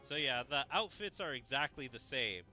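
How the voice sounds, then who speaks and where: A man's voice: mid-range voice, expressive, moderate pace, sharp tone, faint music behind. A man giving casual gaming commentary, thinking aloud in a musing way as he follows a story. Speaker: gaming video creator.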